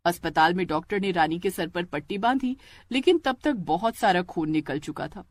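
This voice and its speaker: A woman's voice: on the low side, lively intonation, normal speed, noisy background. A woman reading scripted narration for a family drama story.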